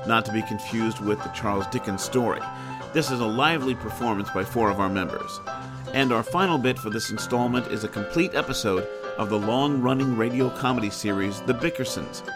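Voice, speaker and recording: An American man introduces an audio drama program as its host. His medium-pitched voice delivers a scripted podcast introduction with music playing behind it. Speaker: host and announcer.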